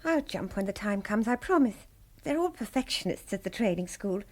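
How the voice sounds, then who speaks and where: Actress as spirited young wartime radio operator. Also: female voice, mid-range voice, lively intonation, moderate pace, a little mellow, natural voice. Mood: exasperated, defiant. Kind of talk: radio drama acting.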